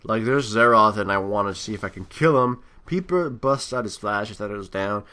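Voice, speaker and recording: A man's voice: fairly low pitch, expressive, fairly quick, sharp tone. A man doing casual, unscripted game commentary, thinking aloud in a rambling way and often trailing off. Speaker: gameplay commentator.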